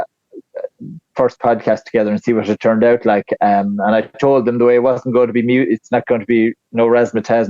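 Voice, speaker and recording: A man with a flat Tipperary Irish accent, chatting casually as a podcast guest, rambling with lots of fillers and restarts. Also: fairly low pitch, no echo, dull audio. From Ireland. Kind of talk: casual interview chat.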